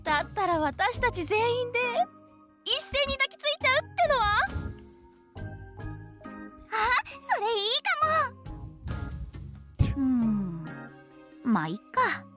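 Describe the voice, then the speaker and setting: Very high, bubbly anime girl. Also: huge pitch swings, a little fast, pauses often, fairly bright tone, faint music behind, heavily acted. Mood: excited, possessive. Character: cutesy, playful teenage anime girls fawning over a mascot. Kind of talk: Japanese visual novel voice acting.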